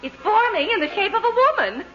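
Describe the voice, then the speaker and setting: A distraught, fluttery wife in a vintage radio comedy. Her voice sits extremely high and is theatrically anxious. The recording is dull, with music behind her. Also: sing-song, fairly quick. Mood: fluttery alarm. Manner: fluttery, melodramatic. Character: dithery, distraught wife of a supposedly dead man. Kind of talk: 1940s radio comedy acting.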